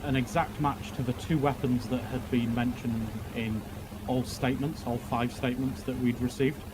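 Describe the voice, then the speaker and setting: A man giving testimony as a police officer, mid-pitched and stumbling, restarting phrases and filling gaps with "um". His voice comes through a noisy game voice chat with a clear hiss behind it. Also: steady tone. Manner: halting, repeats words, many fillers. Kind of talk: roleplay courtroom testimony.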